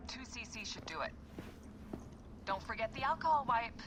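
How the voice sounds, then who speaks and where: Woman playing a bossy, animated medical officer. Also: on the high side, normal speed, natural voice. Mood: wry, caring. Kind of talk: naturalistic video game acting. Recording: clear noise behind the voice.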